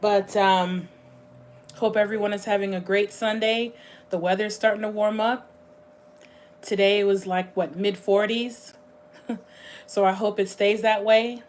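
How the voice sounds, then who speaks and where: Woman livestream host, slightly hoarse, easygoing rambling talk at home. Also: medium pitch, fairly even intonation, a little hiss or hum. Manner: rambling, chatty, thinks aloud with frequent pauses. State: slightly hoarse, voice going scratchy. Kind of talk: casual livestream chat.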